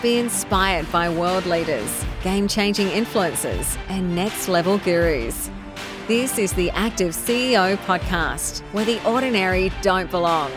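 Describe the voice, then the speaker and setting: A woman's voice: lowish voice, expressive, unhurried. A woman announcer reading a polished promotional podcast intro. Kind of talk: scripted show intro over music. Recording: voice over loud music.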